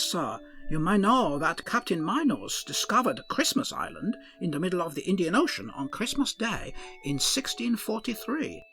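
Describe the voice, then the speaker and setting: A man's voice: high-pitched, vocal fry, fairly bright tone, full character voice. A man doing a rambling starship doctor, odd accent. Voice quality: odd blended put-on accent. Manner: earnest, rambling, formal. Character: fussy male ship's doctor with a put-on accent. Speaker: ship's doctor. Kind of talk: comic audio drama.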